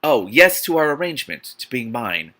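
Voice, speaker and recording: A man's voice: medium pitch, big pitch swings, normal speed, pushed and bright. Man reading as an intense, commanding brooding lover. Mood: fervent, commanding. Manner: breathy, complacent, bossy.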